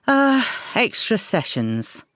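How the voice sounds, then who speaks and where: A woman's voice: a little high, big pitch swings, slow, a bit bright, no echo, exaggerated voice. A woman acting in an audio drama as a coy, seductive counsellor with a con artist's guile. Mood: coy, flustered.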